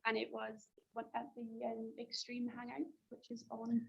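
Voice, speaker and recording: A woman speaks on a panel in a casual way with a heavily gravelly, creaky voice set a little high. The video call audio is muffled. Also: completely flat delivery, moderate pace. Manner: casual, with "um" and "so yeah". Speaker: panel guest.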